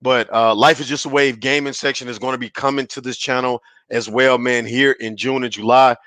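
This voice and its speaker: A man hosting a casual sports podcast, his voice raspy and hoarse from yelling, talking in a hyped, slangy way on a headset mic. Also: mid-range voice, steady tone, fairly quick, dry recording. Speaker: podcast host.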